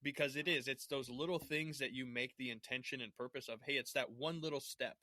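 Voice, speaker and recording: A man's voice: medium pitch, steady tone, fairly quick, sharp tone, dry recording. Earnest male podcast host, dry sound. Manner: earnest, affirming, sincere. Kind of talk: conversational podcast interview.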